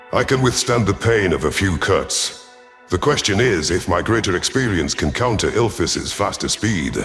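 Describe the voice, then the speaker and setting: Casting: male audiobook narrator, deep voice, measured and solemn sci-fi storytelling over faint music. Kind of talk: scripted first-person sci-fi narration.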